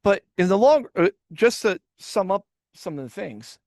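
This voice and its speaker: A man's voice: high voice, very animated, moderate pace, a little mellow, no echo, studio quality. A man hosting a casual reaction podcast, rambling with stammered restarts and swearing. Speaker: podcast host.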